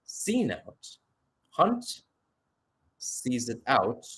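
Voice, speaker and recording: A man does live football play-by-play in a radio style, with a mid-pitched voice. He mixes short, clipped calls of the action with chatty, analytical asides. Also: wildly swooping pitch, very slow, fairly bright tone.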